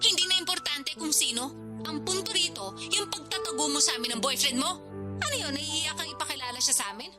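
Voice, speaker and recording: Casting: woman playing scornful elder sister in radio drama; high-pitched, rapid, accusing delivery. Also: loud music behind the voice, natural voice. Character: spiteful, judgmental older sister, a schoolteacher. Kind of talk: Tagalog radio drama acting.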